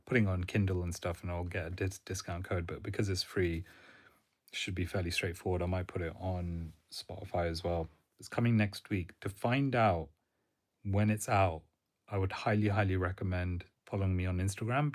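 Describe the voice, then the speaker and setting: A man who gives career advice, chatting casually on a live stream in a monotone, rambling way with fillers. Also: sits low. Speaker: career coach.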